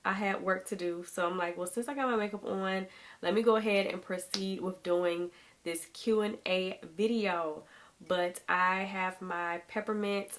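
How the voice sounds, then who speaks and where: A woman's voice: medium pitch, fairly even intonation, normal speed, fairly bright tone. A thirty-year-old woman vlogging to camera in a chatty, casual way, her throat a little sore as she gets over being sick. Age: 30s. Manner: chatty, addresses viewers as y'all. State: recovering from illness, sore throat.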